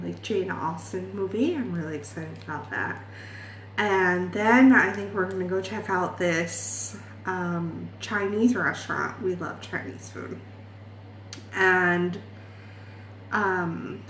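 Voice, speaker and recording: Slow-talking chatty young woman vlogger. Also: medium pitch, fairly bright tone, reverberant, slight background noise. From Ireland. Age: 20s. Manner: rambling, self-deprecating, laughs often. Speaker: beauty vlogger. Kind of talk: chatty makeup vlog.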